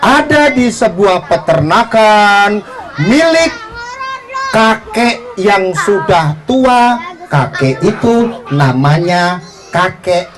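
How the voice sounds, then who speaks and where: A woman's voice: medium pitch, lively intonation, extremely slow, music in the background. A woman storyteller performing live for children, theatrical and interactive, calling out to her audience. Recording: noisy live event with crowd.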